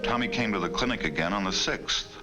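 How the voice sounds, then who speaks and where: A man's voice: lowish voice, brisk. A man reading formal, authoritative scripted narration for a 1950 educational film. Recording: vintage film soundtrack with music. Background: music.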